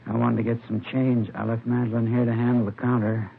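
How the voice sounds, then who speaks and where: An actor playing a mild, middle-aged American shopkeeper in a 1950s radio drama, gravelly, earnest and plain-spoken. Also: male voice, medium pitch, flat delivery, fairly quick. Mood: shaken. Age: 50s. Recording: noisy, muffled vintage radio recording.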